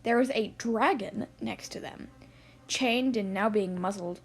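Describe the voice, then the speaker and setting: A woman's voice: mid-range voice, a little slow, vocal fry. A woman reading scripted fan fiction as the narrator of an audio story. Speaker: podfic narrator.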